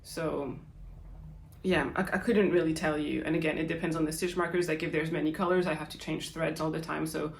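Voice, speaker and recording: A woman's voice: low-pitched, steady tone, brisk, gravelly. A young woman with a French accent hosting a podcast, answering listener questions in a casual, chatty way full of fillers. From France. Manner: chatty, lots of fillers and likes. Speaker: podcast host. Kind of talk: casual Q&A chat. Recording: roomy echo.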